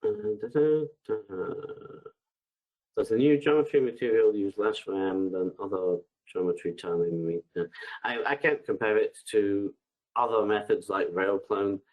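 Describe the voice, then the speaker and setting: A man with a bad cold and a congested voice, answering webinar questions in a relaxed, hesitant way, reading each question aloud before replying, over a headset on a video call. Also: on the low side, measured pace, narrow, tinny audio. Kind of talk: live webinar Q&A, unscripted.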